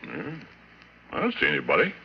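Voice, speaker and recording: A man's voice: rumbling low, wildly swooping pitch, a little fast, vocal fry, full character voice. An actor playing a folksy, easygoing oil rig hand with colloquial working-man talk in an old-time radio horror drama. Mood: easygoing, joking. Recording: dull, noisy old radio recording.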